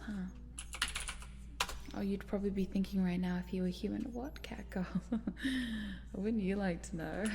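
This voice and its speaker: A woman playing a cute but sinister scientist in an ASMR roleplay, coaxing in a soft, breathy whisper. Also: on the low side, background noise. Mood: playful, conspiratorial. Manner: hushed, coaxing, murmuring, giggly asides. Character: cute sinister lady scientist, chatty, coaxing, quietly gleeful.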